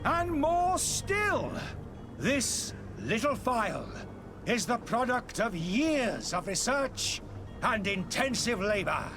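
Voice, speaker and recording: An actor playing a smooth-talking street charlatan in a video game, grandiose and persuasive in a theatrical sales pitch. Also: male voice, sing-song, slow, over music, exaggerated voice. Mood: grandiose, rousing. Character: smooth-talking male street charlatan hawking a miracle potion.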